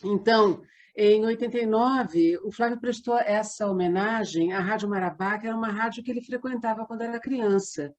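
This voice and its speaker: A woman with a Brazilian Portuguese accent, an interview guest explaining conversationally, with halting digressions. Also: medium pitch, a little mellow. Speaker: interview guest, theatre company member. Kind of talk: conversational storytelling.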